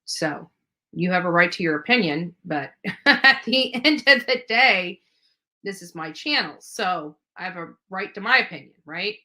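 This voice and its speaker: Bright-voiced woman rambling on a livestream. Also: fairly low pitch, lively intonation, measured pace. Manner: repetitive, rambling, leaning on stock phrases. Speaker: online video commentator. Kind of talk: unscripted livestream commentary.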